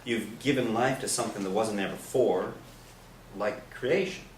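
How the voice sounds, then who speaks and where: A man's voice: on the low side, normal speed, natural voice. A man playing a bashful priest, echoey room. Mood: gently helpful. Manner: hesitant, polite, understated. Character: mild, bashful, smitten priest, gently eager to help. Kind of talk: staged play reading. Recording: echoey stage, distant mic, noisy room.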